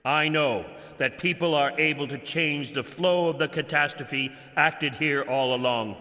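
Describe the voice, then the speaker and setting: A man's voice: on the high side, pushed and bright. A man playing a stern, weary army general, delivering grave, declamatory theatrical lines in a game, in a reverberant hall. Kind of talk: theatrical scripted game monologue.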